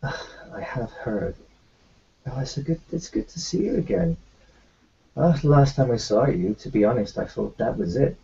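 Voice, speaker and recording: A man playing a doctor in a tabletop roleplaying game, speaking haltingly with many ums and restarts. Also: mid-range voice, lively intonation, gravelly, warm tone. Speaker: tabletop player. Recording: muffled lo-fi recording.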